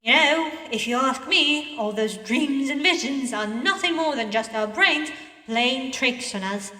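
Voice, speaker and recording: Chatty jovial game character guy played by a woman, high bright voice, animated teasing delivery, echoey distant mic. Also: a little slow, full character voice. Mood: musing, skeptical. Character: chatty, jovial local man greeting an inspector. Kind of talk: visual novel character acting.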